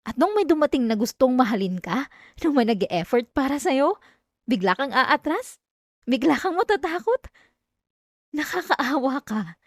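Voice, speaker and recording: A woman's voice: a little high, dry recording, close to an everyday voice. A young woman with a Filipino accent voicing an obsessive, possessive female classmate in an intimate, dramatic ASMR roleplay, addressing the listener up close. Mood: scornful, pitying. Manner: intimate, pleading turning coldly threatening, dramatic. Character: obsessive yandere female classmate, clingy, wounded, menacingly possessive. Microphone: close microphone, dry sound.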